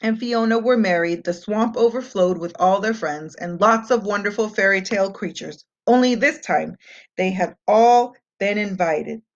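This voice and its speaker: A woman's voice: medium pitch, normal speed. Woman reading a storybook, slightly muffled. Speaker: storybook reader. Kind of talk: scripted children's read-aloud narration. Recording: muffled, dull lo-fi home recording.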